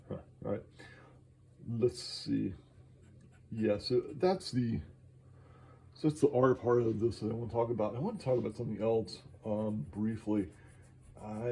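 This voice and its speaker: A man talking casually while drawing, halting and enthusiastic, with many fillers and restarts, moving around his studio. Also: lowish voice, mellow, slight background noise. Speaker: artist vlogging while drawing. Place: indoor studio, moves around the room.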